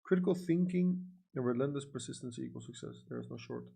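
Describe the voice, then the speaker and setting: A young Dutch-accented man talks through a casual livestream in a gravelly, fry-laden voice at a middle pitch. He leans on fillers like "you know" and "like" and turns blunt and profane without warning. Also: quick pace, warm tone. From Netherlands. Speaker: livestream trader. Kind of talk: casual livestream chat reading comments.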